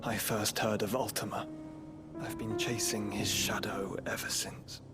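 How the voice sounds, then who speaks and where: An actor with a British accent playing an earnest, ailing young nobleman in a video game, speaking in formal, measured phrases. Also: fairly low pitch, flat delivery, natural voice. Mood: grave, confiding. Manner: grave, measured, formal diction. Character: ailing, earnest young nobleman, wielder of fire, devoted brother. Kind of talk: dramatic video game acting. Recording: orchestral music behind.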